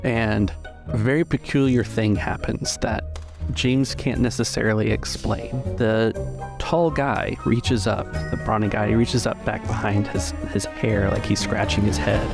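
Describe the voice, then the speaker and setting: A man's voice: fairly low pitch, steady tone, unhurried. A man acting as game master, improvising the narration of a tabletop roleplaying game. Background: music.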